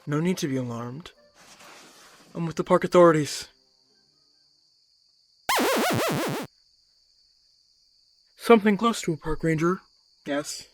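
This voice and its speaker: A man playing a calm, mysterious park ranger in an audio roleplay, speaking in a measured, hushed, reverent way. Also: fairly high pitch, noisy background, close to an everyday voice. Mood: reassuring.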